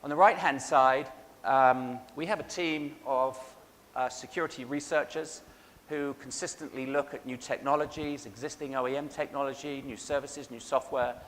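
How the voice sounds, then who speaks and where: A man with an English accent speaks to a large hall through a microphone, his voice trailing off in a long reverb. He talks in a measured way, with frequent ums and restarts, over a faint hum in the background. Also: medium pitch, steady tone, fairly bright tone. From England. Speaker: keynote speaker. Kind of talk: conference keynote talk.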